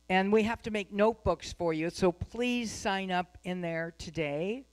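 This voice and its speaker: A woman's voice: mid-range voice, poor audio. A woman giving live spoken announcements to a congregation, speaking at a microphone in a meeting room.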